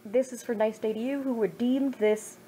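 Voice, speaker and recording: Brief: woman in her twenties, higher voice, hamming up a reading of her teenage fan fiction, faint noise behind. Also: fairly even intonation, moderate pace, dark tone, low-quality recording. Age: 20s. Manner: self-mocking, jokey, over-the-top. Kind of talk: casual chat then dramatic story reading.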